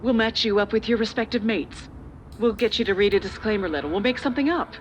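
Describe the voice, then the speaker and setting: A woman's voice: medium pitch, a little hiss or hum, lightly acted. A middle-aged woman playing a calculating, slightly condescending corporate official and parent in a dramatic video game. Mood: evasive, coaxing. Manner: measured, persuasive, slightly condescending. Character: older female corporate official and parent, calculating, persuasive, patronizing.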